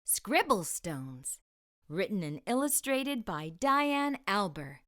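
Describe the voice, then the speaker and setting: A woman's voice: mid-range voice, very animated, slow pace, a bit bright. A woman narrating a children's storybook expressively, enunciating for young listeners as a storytime host.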